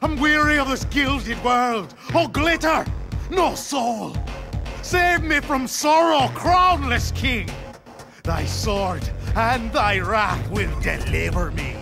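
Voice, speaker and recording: A fervent preacher villain in a video game. His voice is high and gravelly, with slow, grandiose delivery. Music plays behind him. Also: lively intonation, full character voice. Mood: ecstatic, fervent. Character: fervent male cult-preacher assassin, grandiose and fanatical.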